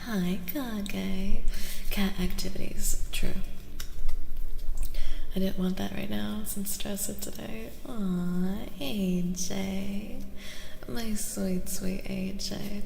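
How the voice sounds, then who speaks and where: Soft-spoken woman, often whispering, with roomy reverb. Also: mid-range voice, vocal fry. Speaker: livestreamer doing tarot readings. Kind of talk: soft-spoken ASMR livestream chat. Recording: roomy reverberant sound with faint noise.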